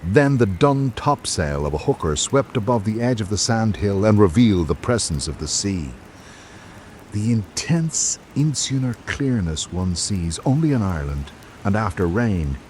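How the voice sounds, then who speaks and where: Low male narrator acting a travel writer, literary n reflective, very lively intonation, bit of rain noise. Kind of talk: scripted literary narration in radio drama.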